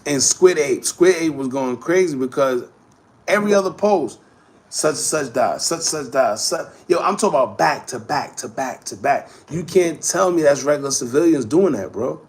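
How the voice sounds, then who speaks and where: A man in his thirties with a gravelly, creaky voice, pitched a little higher than most men. He hosts a loose livestream chat, reacts to viewer comments and rambles on in casual slang. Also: animated, normal speed. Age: 30s. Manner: slangy, rambling, conversational street talk. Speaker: host.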